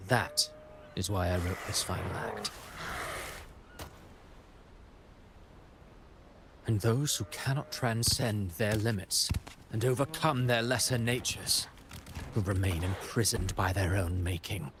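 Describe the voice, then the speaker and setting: A man's voice: lowish voice, a little slow, background noise. A man playing a grandiose, self-assured mastermind villain in a video game cutscene, composed and eloquent, with faint ambience behind.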